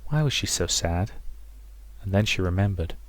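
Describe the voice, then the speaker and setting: A man reading a visual novel aloud as expressive story narration, as a let's player. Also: deep voice, moderate pace. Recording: music behind the voice.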